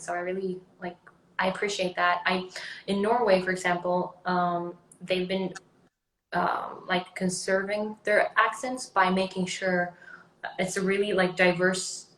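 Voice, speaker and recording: A woman's voice: lowish voice, fairly bright tone, roomy sound, a little hiss or hum, poor audio. A young adult woman from Sweden with a Swedish accent, chatting casually as an interview guest, rambling with many ums and likes. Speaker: actress guest.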